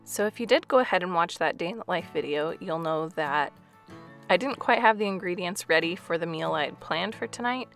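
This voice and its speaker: A woman's voice: fairly low pitch, animated, fairly quick, creaky, fairly bright tone, faint music behind. A woman in her thirties chatting casually through a home cooking vlog voice-over, with light laughs. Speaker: family vlogger.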